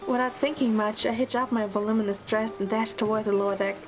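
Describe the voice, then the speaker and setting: A woman playing a quick-witted young heroine, narrating an audio drama in the first person. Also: fairly high pitch, steady tone, noisy background, lightly acted.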